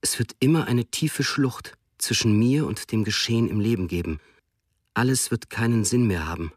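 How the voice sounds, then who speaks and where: A man's voice: lowish voice, normal speed, no echo, clean studio sound. A man narrating a soldier's wartime memoir in the first person, grave and introspective.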